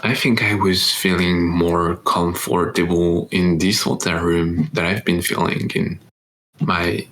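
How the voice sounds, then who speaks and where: A young man from France co-hosts a casual podcast in English with a French accent. He speaks in a low voice at an unhurried pace, pausing and restarting as he searches for words. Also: flat delivery, fairly bright tone, slight background noise, poor audio. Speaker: podcast co-host. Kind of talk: casual chat.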